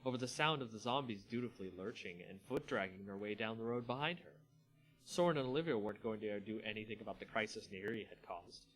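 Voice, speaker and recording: A man's voice: lowish voice, fast talker, fairly bright tone, low-quality recording. A man hosting a livestream, moving between casual chat and dramatic story reading. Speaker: channel host and story reader. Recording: music playing behind the voice. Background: background music.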